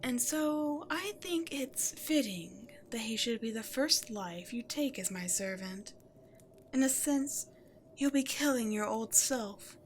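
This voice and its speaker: A woman's voice: on the high side, a little hiss or hum. A woman voicing an imperious, seductive demon queen in a scripted audio roleplay, theatrical and haughty, addressing the listener directly. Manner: theatrical, breathy asides, haughty. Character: imperious demon queen, seductive, gloating, easily exasperated villain.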